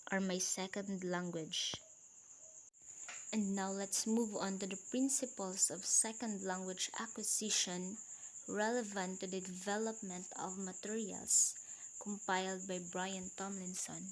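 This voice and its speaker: A woman teacher with a Filipino accent and Cebuano as her first language, reading points from slides in a recorded video lecture, slow and deliberate. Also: medium pitch, level tone, slight background noise, poor audio. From Philippines.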